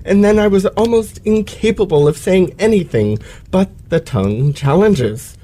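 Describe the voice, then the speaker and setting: An actor as a pompous, aggrieved gentleman lecturer. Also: high-pitched, animated, normal speed. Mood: aggrieved, theatrical. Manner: florid, theatrical, precise elocution. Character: pompous, aggrieved male lecturer, florid and self-pitying. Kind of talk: old-fashioned radio play acting.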